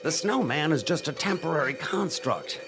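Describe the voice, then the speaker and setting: An actor playing a desperate, guilt-ridden middle-aged father and professor in an audio drama, halting and pleading. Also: a little high, animated, gravelly, music in the background. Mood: grave, anxious.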